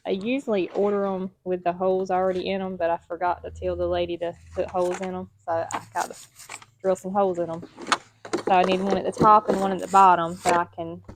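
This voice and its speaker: Soft-spoken woman, flat and low. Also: noisy background. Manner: soft-spoken, plain and hesitant, many ums. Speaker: homestead vlogger. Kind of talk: casual talk to camera.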